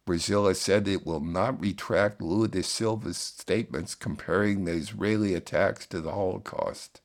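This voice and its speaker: Very deep-voiced American man, radio host. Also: normal speed. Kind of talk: scripted narration, news summary.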